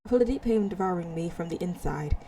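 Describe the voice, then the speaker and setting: A woman reading a visual novel aloud as its player, dramatic but halting over words, with casual asides. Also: on the low side, animated, warm tone, slight background noise. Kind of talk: reading game text aloud with casual reactions. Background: music.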